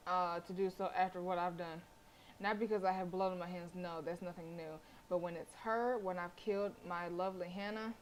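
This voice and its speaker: A woman game streamer reading visual novel narration aloud, expressive, with casual blunt reactions. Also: fairly low pitch, slight background noise, low-quality recording.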